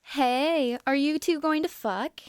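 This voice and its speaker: Woman playing a loud, crude, brash teen girl. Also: high voice, slow pace, no echo, studio quality, voice pushed up. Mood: lewd heckling. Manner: yelling, lewd taunts.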